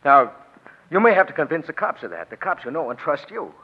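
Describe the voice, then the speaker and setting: An actor playing an anxious professional gambler in a jam, explaining urgently in a husky voice on 1940s radio. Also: male voice, a little high, very animated, fairly quick. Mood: pleading, afraid. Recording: muffled old radio recording.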